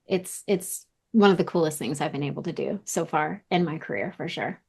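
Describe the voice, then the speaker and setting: A woman in her thirties talks loosely and at length, leaning on "like" and doubling her words as she goes. Her voice sits a little low, with a gravelly fry running under it. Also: lively intonation, a little fast, darkish tone. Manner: chatty, lots of "like" and repeated words. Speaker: vocal coach, interview guest. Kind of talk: casual interview chat.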